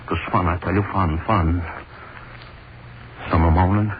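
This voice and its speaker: A man's voice: low-pitched, steady tone, normal speed, close to an everyday voice. An actor playing a sadistic, haunted middle-aged hangman in a brooding, obsessive 1930s radio horror monologue. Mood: relishing. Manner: brooding, obsessive, repeats words. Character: gloating, sadistic, haunted old-time hangman. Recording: muffled, noisy 1930s radio recording.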